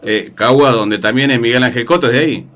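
An Argentine man with an Argentine accent hosts a boxing radio show. His voice sits at an ordinary mid pitch, and he asks leading questions in a halting way, often starting with a filler. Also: a bit bright. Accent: Argentine Spanish. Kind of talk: radio interview.

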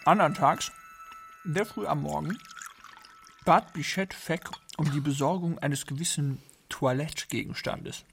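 A man narrating a literary radio play as a scripted storyteller, with a gravelly, husky voice. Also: fairly high pitch, measured pace, a bit bright, faint music behind.

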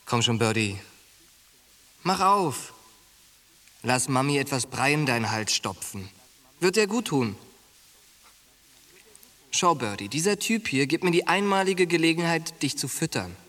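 A man's voice: a little high, a bit bright. An actor playing a brash, coarse young soldier who goads his friend, in a radio drama. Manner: coarse, goading, slangy.

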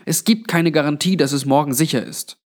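A man voicing a kindly, anxious old grandmother with a hushed, pleading manner in a narrated story. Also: a little high, fairly quick, pitched above the performer's own voice, natural voice. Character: kindly but anxious old granny, undead innkeeper.

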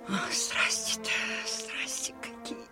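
An actress playing a frightened, pious common townswoman, lamenting in a drawn-out, breathy way in a radio drama. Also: whispered, close to an everyday voice. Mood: fearful, awed. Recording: radio play under loud music.

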